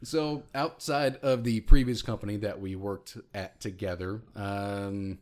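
A man in his thirties with a deep voice, co-hosting a podcast in casual, self-mocking chat with many fillers. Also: fairly even intonation, a little slow, a little mellow. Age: 30s. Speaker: podcast co-host.

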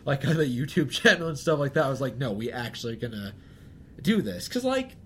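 A man's voice: medium pitch, big pitch swings, brisk, a little hiss or hum. A young man co-hosting a podcast, chatting casually, rambling and self-deprecating, often laughing. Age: young adult. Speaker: podcast co-host. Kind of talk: casual chat.

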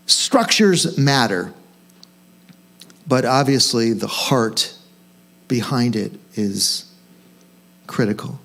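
A male pastor gives an informal, conversational sermon in a mid-pitched voice. He poses questions to himself and his listeners, then leans on key words for emphasis. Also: expressive, takes it slowly, long pauses, fairly bright tone. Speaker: senior pastor. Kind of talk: informal sermon to own congregation.

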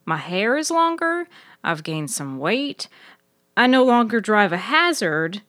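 A woman voicing a lively, teasing woman in an audio story, with a casual and animated manner. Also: medium pitch, unhurried, no echo, studio quality, pitched above the performer's own voice. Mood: casual, curious.

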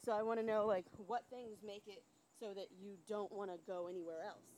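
A woman's voice: medium pitch, steady tone, brisk, a little mellow. A woman hosting a podcast, chatting casually with her guest while out walking outdoors. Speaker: podcast host, interviewer. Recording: outdoor recording with faint background noise.

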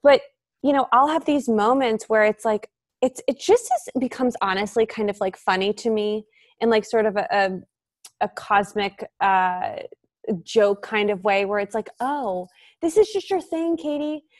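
A woman's voice: on the high side, moderate pace, no room sound, clean studio sound. A woman in her thirties, an author and interview guest, talking in a casual, reflective way with many fillers. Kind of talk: casual podcast conversation.

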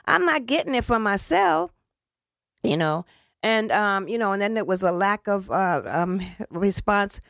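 A woman who organizes community events, speaking in a loose, earnest, rambling way. She restarts her sentences often and slips into casual slang. Her voice sits at an ordinary pitch and is recorded dry and close, with no room sound. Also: expressive, measured pace, gravelly, a bit bright, no echo. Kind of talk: casual interview talk.

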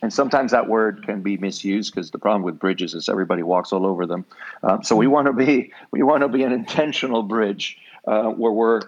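A middle-aged man from Puerto Rico with a Puerto Rican accent, a nonprofit leader speaking calmly and reflectively as a podcast guest. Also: on the low side, fairly quick. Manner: calm, reflective, frequent affirming hums. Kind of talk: relaxed interview conversation. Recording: muffled lo-fi audio.

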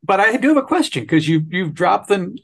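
Podcast interviewer, male. Slightly high voice with noticeable fry, conversational delivery. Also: sing-song, fairly quick, fairly bright tone.

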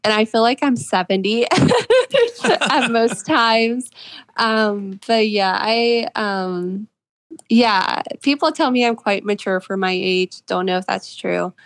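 A woman's voice: on the high side, expressive, slow, no echo. A twenty-year-old woman answering interview questions about her work as an author in an earnest, reflective way. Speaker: interview guest, fiction author.

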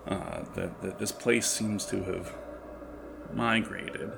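A man improvising tabletop game narration as game master, in a halting, wordy, descriptive manner. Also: low-pitched, gravelly, background noise.

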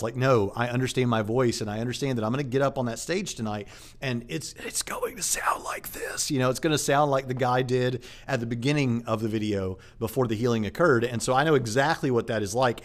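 A man, a preacher and channel host, talking casually about faith and evidence, with frequent fillers and restarts. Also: medium pitch. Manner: conversational with many fillers and restarts. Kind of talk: casual apologetics talk to camera.

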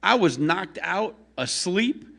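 A shrill, grumpy, shouting man. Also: animated, slow pace, creaky, heavily acted. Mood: angry. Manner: shouting, high-pitched and snappish. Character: grumpy irritable man woken from sleep.

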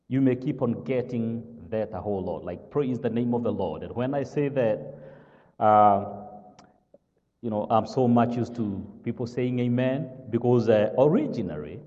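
A man from Kenya with a Kenyan accent, whose first language is not English, preaching a sermon as pastor. He speaks slowly and haltingly, fills pauses with "uh" and keeps asking "all right?". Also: mid-range voice, lively intonation, warm tone, big-room sound, highs cut off. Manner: slow, halting with many "uh" fillers, checks "all right?".

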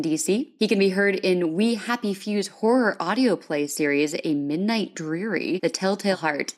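Woman hosting a conversational podcast. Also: lowish voice. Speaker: podcast host and interviewer.

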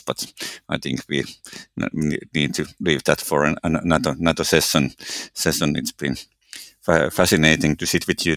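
Gravelly deep Finnish-accented man. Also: fairly bright tone, no echo. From Finland. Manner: halting, stammering over words, thoughtful. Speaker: podcast co-host. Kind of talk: reflective podcast conversation.

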